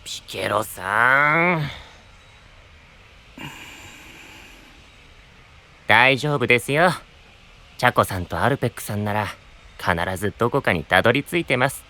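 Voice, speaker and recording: Casting: fussy, polite fantasy sidekick, lively sweeping intonation, formal and talkative. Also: male voice, mid-range voice, normal speed. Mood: reassuring. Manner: formal, courteous, theatrical. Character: polite, chatty, fussy male knight sidekick. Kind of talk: Japanese anime-style audio drama acting.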